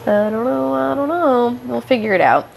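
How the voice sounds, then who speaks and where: A young woman hosting a podcast, hoarse and stuffed up from illness, rambling casually with lots of fillers and apologies while walking around her house on a headphone mic. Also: a little high, lively intonation, moderate pace, noisy background. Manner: meandering, many fillers and apologies, casual swearing. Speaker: podcast host. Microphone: headphone mic into phone.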